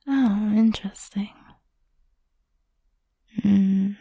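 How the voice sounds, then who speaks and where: Woman acting slow, whispering, possessive girlfriend. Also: mid-range voice, dry recording, studio quality. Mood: jealous, probing. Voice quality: soft, breathy whisper. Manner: slow, lingering, coaxing delivery. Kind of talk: intimate whispered audio roleplay, ASMR-like.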